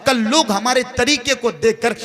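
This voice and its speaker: High-pitched, forceful man preaching. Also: moderate pace. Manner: exhorting, emphatic, rhetorical repetition and questions. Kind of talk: impassioned religious sermon.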